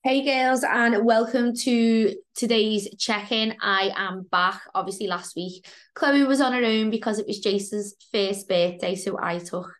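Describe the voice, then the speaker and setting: Croaky-voiced woman coach chatting. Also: medium pitch, a little slow. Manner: chatty, frank, lots of "like" and "um". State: croaky voice from a cold. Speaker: fitness coach and podcast co-host. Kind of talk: casual podcast chat.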